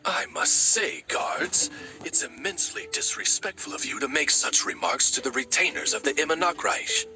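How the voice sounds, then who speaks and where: Courtly raven attendant, lively intonation. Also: male voice, on the high side, normal speed, exaggerated voice. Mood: affronted dignity. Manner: formal, genteel, butler-like. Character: courtly talking raven familiar, dignified, loyal interpreter. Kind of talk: anime game voice acting. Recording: music behind the voice.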